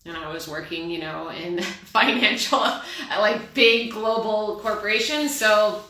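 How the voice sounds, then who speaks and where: A chatty woman from Texas hosts her own show, talking candidly in a light Texan accent and laughing often. Her voice is bright and forward. The recording rings with heavy room echo. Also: medium pitch, unhurried. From Texas, USA. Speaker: podcast host. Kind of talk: casual solo chat. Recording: echoey room.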